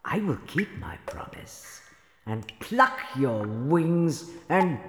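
Imperious sorceress, echoing and menacing. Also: female voice, low-pitched, big pitch swings, slow, heavily acted. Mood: menacing, cold. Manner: commanding, menacing, dropping to hisses then shouting. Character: imperious cruel sorceress, threatening her lackey with curses. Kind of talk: fairytale audio drama acting. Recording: echoey hall reverb with background music.

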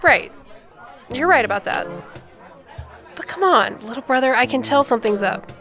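Down-to-earth teasing sister, naturalistic radio drama, conversational, slightly high voice, faint music behind. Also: female voice, animated, normal speed, fairly bright tone, some chatter behind. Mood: curious, knowing. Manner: playful, probing, conversational. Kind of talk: naturalistic modern audio drama acting.

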